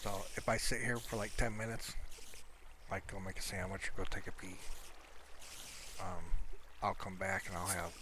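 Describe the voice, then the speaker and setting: A man giving casual, rambling gameplay commentary, explaining things in an unhurried way as he plays. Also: low-pitched, flat delivery, background noise. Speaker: gamer showing a Minecraft build.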